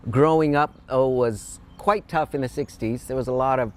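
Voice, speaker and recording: An older man in a candid interview, speaking in a halting, reflective way with repeated words. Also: mid-range voice.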